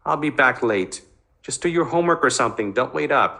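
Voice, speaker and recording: A man acts out a tormented fifteen-year-old boy from a storybook. He is defiant and guilt-ridden, pressing his words out in an agitated, insistent way with a forceful, bright tone. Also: medium pitch, lively intonation, brisk, gravelly, voice pushed up. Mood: tender, hurried. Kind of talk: dramatised novel reading.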